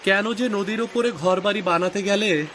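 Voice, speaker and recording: A man's voice: sits high, normal speed, a bit bright, a little hiss or hum. A man playing a concerned younger village fisherman in a cartoon story, inquisitive and chiding. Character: concerned unmarried younger fisherman, teasing and helpful. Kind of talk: scripted cartoon story acting. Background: music.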